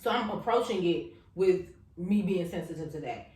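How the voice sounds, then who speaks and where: Casting note: woman podcast host, animated and loud when excited, laughs a lot, roomy echo. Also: lowish voice, moderate pace. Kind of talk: casual podcast chat.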